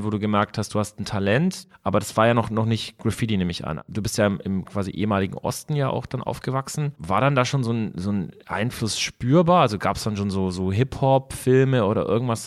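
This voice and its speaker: A man with a fairly low voice hosts a podcast interview. He asks friendly, rambling questions and often restarts them mid-sentence. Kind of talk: casual interview chat.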